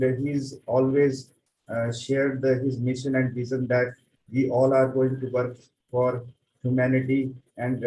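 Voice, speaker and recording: A man's voice: medium pitch, warm tone. A man giving an effusive, grateful testimonial as a community member on an online webinar. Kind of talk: earnest thank-you speech on a group webinar. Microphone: online video call. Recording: roomy, distant mic, faint background noise.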